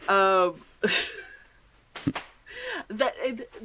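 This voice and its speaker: A woman's voice: mid-range voice, extremely slow, fairly bright tone, a little hiss or hum. A middle-aged American woman chatting casually as a podcast host, with slangy asides and acted-out reactions.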